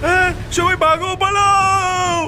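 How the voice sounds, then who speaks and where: Man doing a very high, excitable cartoon villager. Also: a little slow, a crowd in the background. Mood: alarmed. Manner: exclamatory. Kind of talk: cartoon voice acting. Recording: clear music behind.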